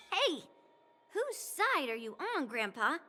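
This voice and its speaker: Cocky, bright-voiced young swordswoman. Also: sits high, sing-song, slow pace, exaggerated voice. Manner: taunting, brash, scornful. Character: cocky, fiery young swordswoman girl, teasing and proud. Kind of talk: anime-style video game voice acting.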